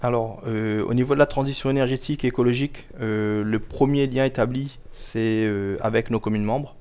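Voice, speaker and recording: A man giving explanatory answers as an interview guest, measured and formal, pausing often to choose his words carefully. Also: mid-range voice, steady tone, a little mellow, clear, resonant tone. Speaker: guest, local government project officer.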